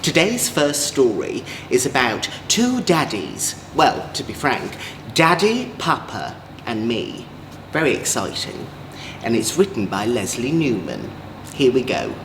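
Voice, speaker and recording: A man's voice: medium pitch, wildly swooping pitch, unhurried. A man reading children's stories as a drag queen, theatrical and playful, with campy asides to young listeners. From England. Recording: roomy echo, faint background noise.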